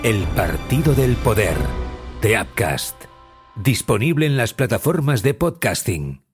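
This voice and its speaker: Male promo narrator, dramatic and scripted, expressive mid-range voice over loud music. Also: mid-range voice, unhurried. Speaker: trailer narrator. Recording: prominent music under voice-over.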